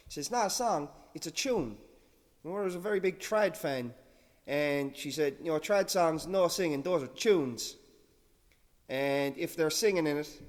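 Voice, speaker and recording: A man's voice: high voice, long reverb tail. A man hosting an audio show, rambling casually in a laid-back, self-deprecating way. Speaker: radio-style show host.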